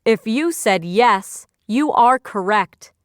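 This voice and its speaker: Lady doing a scripted vocab quiz, smooth crisp voice, super dry close sound, teacher style. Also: a little high, lively intonation, dry recording. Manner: instructional, measured phrasing. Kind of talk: scripted educational quiz narration.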